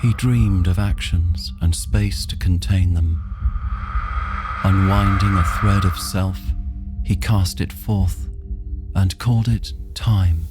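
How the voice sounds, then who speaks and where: An actor playing a grave storyteller, narrating a fantasy audio drama in measured, poetic phrases. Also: male voice, low voice, fairly even intonation, loud music behind the voice. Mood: solemn, measured. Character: grave male storyteller of a creation myth. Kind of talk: solemn scripted creation-myth narration, fantasy audio drama.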